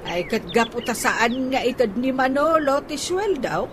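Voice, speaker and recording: A woman with an Ilocano accent playing an aggrieved, nagging older housemaid in a radio comedy drama, full of halting interjections. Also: sits high, lively intonation. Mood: grumbling, gossipy. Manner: halting, peppered with sighing interjections. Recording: noisy background with ambient bird sounds.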